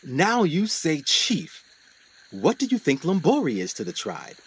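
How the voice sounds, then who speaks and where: A man acting in a radio play as an earnest, excitable explorer doctor, speaking formally with dramatic pauses. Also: fairly high pitch, slight background noise. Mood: intrigued.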